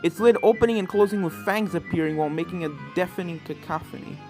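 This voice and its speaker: A woman's voice: lowish voice, fast, darkish tone. A woman reading a scripted fan fiction story as narrator, with spooky video game music behind her.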